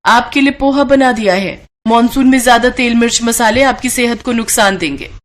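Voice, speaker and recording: A woman's voice: a little high, moderate pace, lightly acted. A woman as harried, caring young housewife. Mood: firm, scolding. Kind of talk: Hindi cartoon moral story acting.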